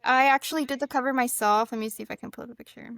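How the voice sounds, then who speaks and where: Woman from mexico, spanish first language, chats about her books, quotes people a lot, pretty high voice. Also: steady tone, rapid-fire, gravelly, fairly bright tone, dry recording, clean studio sound. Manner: chatty storyteller, quotes people often. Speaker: guest author. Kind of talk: casual podcast chat.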